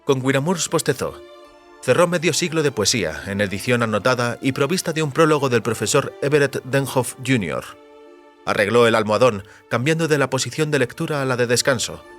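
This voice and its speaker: A man's voice: mid-range voice, animated, brisk, fairly bright tone, soft background music. A man reading scripted literary narration as an audiobook narrator.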